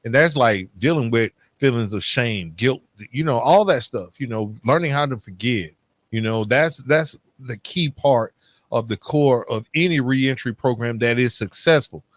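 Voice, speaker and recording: A man's voice: mid-range voice, normal speed, dry recording. A man hosting a podcast in an earnest, informal conversational way, peppering his talk with casual asides. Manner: earnest, informal, often saying "man" and "you know". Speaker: podcast host.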